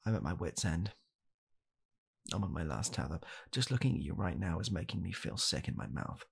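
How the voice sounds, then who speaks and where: A young British man in a hushed, halting, deadpan comedic ASMR roleplay, playing a bitter, weary father coldly blaming his child. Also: sits low, creaky, breathy edge. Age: young adult. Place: small home room, indoors.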